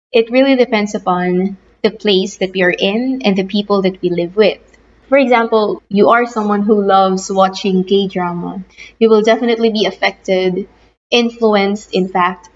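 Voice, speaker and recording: A woman's voice: mid-range voice, a little hiss or hum. A young adult woman with a Filipino accent, teaching a recorded lesson and explaining step by step, now and then repeating words while she thinks. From Philippines. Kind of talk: recorded online lecture. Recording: slightly dull lo-fi audio.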